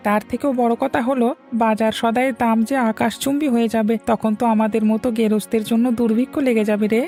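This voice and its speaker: A woman's voice: on the high side, fairly even intonation, fast talker, dark tone, close to an everyday voice. A woman voicing a worried, earnest village farmer man in a rustic cartoon story. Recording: background music bed.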